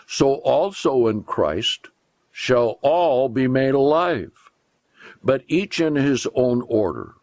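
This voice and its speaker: A man reading scripture aloud as a pastor would, in a solemn style with deliberate, emphatic phrasing and measured pauses. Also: mid-range voice, dry recording.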